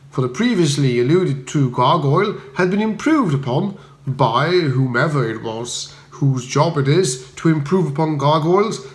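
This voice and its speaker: A man with a fairly high voice narrates a comic audiobook. His delivery is measured and wry with a mock-grand air, recorded in an echoey room. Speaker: audiobook reader. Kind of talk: comic first-person scripted narration. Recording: roomy echo.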